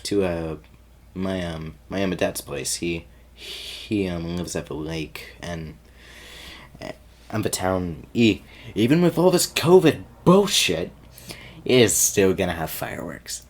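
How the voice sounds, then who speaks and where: A man playing an easygoing, teasing young guy sweet on his friend, talking casually and haltingly in an audio roleplay. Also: low-pitched, animated, heavily acted. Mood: teasing, nervous. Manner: halting and stammering, chuckling, casual slangy speech.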